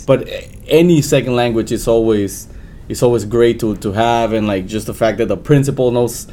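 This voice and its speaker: A man's voice: mid-range voice, normal speed, mellow. Casual male interviewer. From El Salvador. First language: Spanish. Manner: casual, lots of likes and backchannels.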